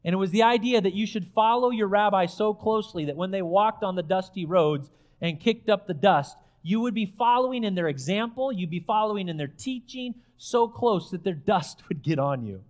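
A man's voice: high-pitched, expressive, moderate pace. A middle-aged man preaching a sermon, explaining scripture in an emphatic yet conversational teaching manner. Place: church stage before a congregation, indoors.

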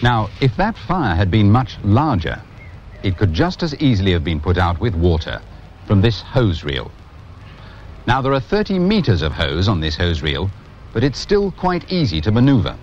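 A British man in his fifties presenting a scripted instructional training film in a measured, instructive manner. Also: fairly low pitch, wildly swooping pitch. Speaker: presenter and narrator of a fire safety training film. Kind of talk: scripted instructional narration to viewer. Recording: muffled, noisy 1980s 16mm film soundtrack.

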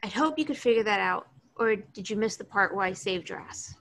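A woman's voice: mid-range voice, animated, fairly quick, a bit bright. A woman playing a blunt, guarded young action heroine in a table read over a video call. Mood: hurt, fed up.

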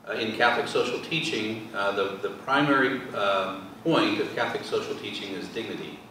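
A middle-aged deacon speaking in a measured, earnest way into a table mic on a panel. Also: male voice, fairly low pitch, sharp tone, a little hiss or hum. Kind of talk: panel discussion. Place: large church hall. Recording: echoey hall from far off.

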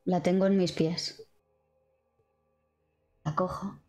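A woman's voice: fairly low pitch, flat delivery, slow, darkish tone, soft background music. A woman player in a tabletop roleplaying game, improvising her character's words and actions.

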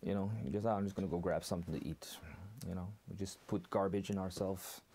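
Man in his forties from Norway, very low voice, tired and easygoing, casual guest. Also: steady tone, fast, warm tone, breathy edge. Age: 40s. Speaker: guest rapper. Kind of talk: casual interview chat. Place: cluttered storage room indoors.

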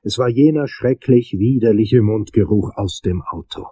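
An actor playing a hard-boiled detective, narrating his case in a deliberate, theatrical crime radio drama style. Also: lowish voice, warm tone, slightly rough. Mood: suspenseful. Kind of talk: noir first-person crime drama narration.

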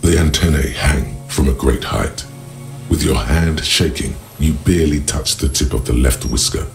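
A man voicing the brooding inner narration of a detective game, gravelly, deliberate and poetic. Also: very deep voice. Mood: hushed, awed. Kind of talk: scripted second-person game narration. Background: music.

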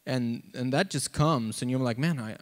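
A man gives an informal sermon and testimony into a handheld mic, halting and conversational, with lots of "like" and "you know.". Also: medium pitch. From South Africa. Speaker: preacher. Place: church auditorium, on stage before seated congregation. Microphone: handheld microphone.

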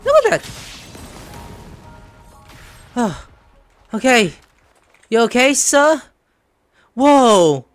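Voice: mid-range voice, sing-song, drawn-out words, pauses often, no room sound. A gamer making casual let's-play commentary, swearing and joking crudely over game music.

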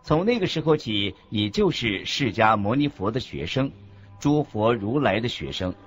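A man's voice: on the low side, sing-song, normal speed. A man reading a scripted religious teaching as the narrator of a radio lecture. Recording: dull lo-fi audio with background music.

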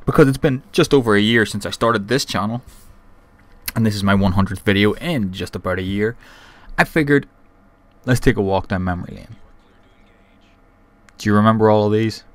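A man's voice: lowish voice, moderate pace, long pauses, no echo. A man hosting his gaming channel, chatting casually and reflectively to viewers in a rambling way with many restarts. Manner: rambling, lots of fillers and restarts.

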